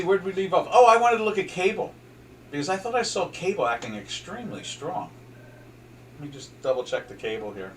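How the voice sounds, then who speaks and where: A man's voice: medium pitch, distant mic. An older man with a South Jersey accent hosts a casual trading chat, wandering between charts and asides with plenty of fillers and false starts. He sits a fair way from the microphone. A faint layer of background noise runs under his voice. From southern New Jersey, USA. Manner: rambling, chatty, many fillers and restarts. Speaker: trading show host. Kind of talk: casual market commentary over charts.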